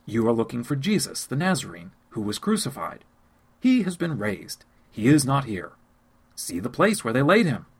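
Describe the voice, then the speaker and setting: A calm young messenger delivering astonishing news, as a man reads him aloud. He speaks firmly and reassuringly. A slight gravelly texture runs under the voice. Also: mid-range voice, normal speed. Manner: firm, reassuring announcement.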